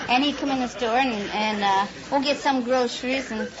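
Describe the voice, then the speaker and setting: A woman's voice: a little high, a little fast, a crowd in the background. A woman, telling homely stories in an earnest, rambling way as a contestant. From American Midwest. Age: 50s. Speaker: quiz show contestant, housewife. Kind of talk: unscripted folksy storytelling in an interview. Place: radio studio stage before a live audience. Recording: muffled, noisy vintage radio recording with audience. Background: studio audience and noise.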